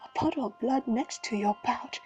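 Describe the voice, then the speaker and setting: A woman voicing a frail, ill old father, speaking in a hushed, breathy whisper. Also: on the high side, moderate pace, faint music behind, natural voice. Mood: haunted. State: ill and coughing.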